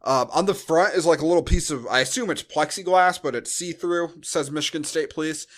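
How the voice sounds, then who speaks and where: A man's voice: a little high, fairly quick, a bit bright. An American man co-hosting a podcast in casual, rambling, joking conversation. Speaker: podcast co-host. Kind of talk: casual chat, movie review.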